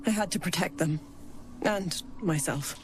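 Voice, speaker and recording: A woman playing a gentle, earnest character in a video game, soft, breathy and hesitant. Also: on the low side, a little slow, faint music behind, natural voice. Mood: sombre. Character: gentle, earnest woman returned from death, long in hiding. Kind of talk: intimate video game acting.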